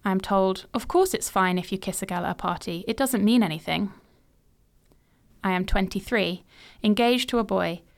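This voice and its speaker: A woman in her late twenties reads her own story in the present tense, with a smooth, clear voice. Her telling is measured and reflective, and her pitch sits in the middle range. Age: 20s. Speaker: podcast host. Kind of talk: reading a personal story aloud.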